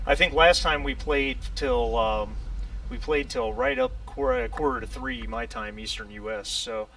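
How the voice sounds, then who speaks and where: A distant-miked man with faint noise behind him runs a tabletop game session. His voice is lively in pitch and a little gravelly, and he talks casually in a halting, hesitant way. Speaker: game master.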